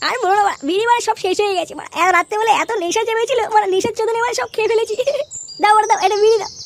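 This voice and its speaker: A performer voicing a cheeky, foul-mouthed young cartoon villager in a squeaky pitched-up voice, excitable and complaining. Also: racing, vocal fry. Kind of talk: cartoon comedy dialogue.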